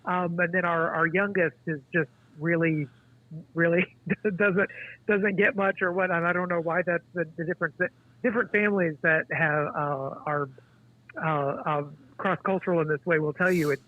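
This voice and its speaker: A man's voice: on the high side, steady tone, dry recording. Man in his forties on a tinny phone line. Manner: halting, thoughtful, many restarts. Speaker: guest author. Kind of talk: casual interview conversation.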